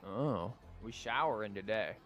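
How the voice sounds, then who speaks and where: A man's voice: fairly low pitch, lively intonation, measured pace, fairly bright tone. A man doing casual live game commentary as a streamer, with wry, rambling asides. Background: game music.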